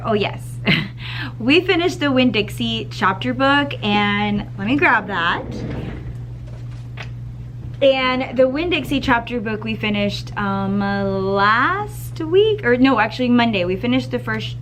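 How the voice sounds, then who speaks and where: A second grade teacher with a slightly scratchy voice, chatting upbeat to camera in a school classroom, clearing her throat now and then. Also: a little high, a bit bright. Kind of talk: casual vlog chat to camera.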